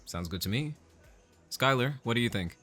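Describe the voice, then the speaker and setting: Chipper, lively-voiced dad. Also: male voice, mid-range voice, normal speed, soft background music. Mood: warm, playful. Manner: chipper, gently teasing. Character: easygoing, affectionate husband and chipper father.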